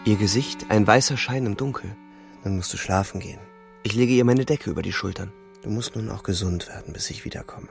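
An actor playing a weary, sensitive young soldier, narrating introspectively in a subdued, terse way in a German radio drama. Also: male voice, on the low side, fairly quick, vocal fry. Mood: anguished. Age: young adult. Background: music.

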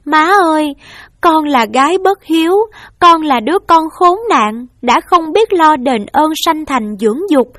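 A woman's voice: sits high, expressive, unhurried, fairly bright tone, no echo, studio quality, voice pushed up. A woman voicing a young daughter who tearfully begs her parents' forgiveness. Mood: anguished pleading. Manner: tearful pleading.

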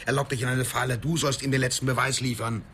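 A man's voice: mid-range voice, level tone, quick pace, fairly bright tone, slight background noise, natural voice. A man acting in a radio drama as a hard-boiled gangster, terse, probing and scornful. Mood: scornful, warning.

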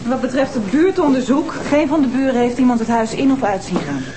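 A woman's voice: sits high, expressive, fairly quick, dark tone, a little hiss or hum, poor audio. A woman acting a matter-of-fact police detective, factual and direct in a TV crime drama. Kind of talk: naturalistic TV crime drama dialogue.

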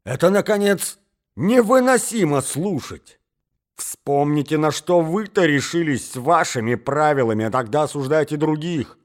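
A man voicing an indignant, wounded young gentleman in a dramatic reading of a story, with exclamatory, emphatic speech. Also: mid-range voice, lively intonation, normal speed, lightly acted. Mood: angry, defiant. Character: enraged, wounded young gentleman, rejected suitor.

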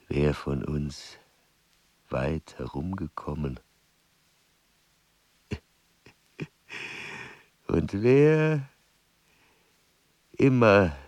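An actor in a German radio play playing a frail old explorer, earnest and obsessed with facts, speaking haltingly and pedantically in a creaky voice. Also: male voice, low-pitched, darkish tone, dry recording, exaggerated voice. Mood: musing.

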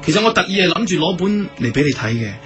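An actor with a Hong Kong Cantonese accent playing a naive, lovesick young shop owner, gushing and stammering in a radio drama. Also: male voice, sits high, very animated, fast. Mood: sheepish, awkward. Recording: music behind the voice.

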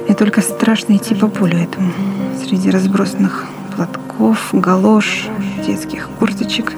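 A woman's voice: medium pitch, steady tone, moderate pace, vocal fry, lightly acted. A woman playing a terrified pregnant village mother, dazed with dread, in an audio drama monologue. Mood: dread, grief. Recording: music behind the voice.

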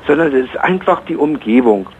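A man calling a radio show, rambling and halting as he searches for words. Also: mid-range voice, expressive, a little mellow. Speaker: caller to a radio phone-in. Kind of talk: rambling casual storytelling. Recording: dull, noisy radio call recording.

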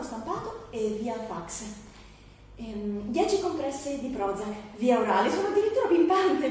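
Stage satire: actress as jobless daughter, high voice, frantic, forced cheer, repetitive delivery. Reverberant hall recording. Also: female voice, a little mellow, close to an everyday voice. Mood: forcedly upbeat. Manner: rapid listing, repetitive, agitated. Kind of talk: surreal satirical stage monologue and dialogue. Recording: distant echoey hall, faint noise.

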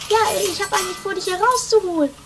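Very shrill, bossy scatterbrained schemer Doris. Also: female voice, fast. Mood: pushy, scheming. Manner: stammering, pushy, flustered. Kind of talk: improvised amateur trash comedy radio play. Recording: noisy low-budget 1980s radio play recording.

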